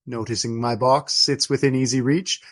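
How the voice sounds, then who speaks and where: A man's voice: mid-range voice, steady tone, natural voice. Man playing a courtly, wry old friar. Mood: fond, wry. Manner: formal, ornate, deliberate phrasing. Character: courtly old Trappist friar, fond, wry, gallant storyteller. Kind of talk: radio play dialogue.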